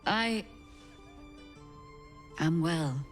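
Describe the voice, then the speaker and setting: A woman's voice: low-pitched, animated, extremely slow, faint music behind, heavily acted. Grave old warrior mother, long reverb. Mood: grave, restrained. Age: older. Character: weary, proud old warrior mother, gruffly affectionate. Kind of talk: video game dramatic acting.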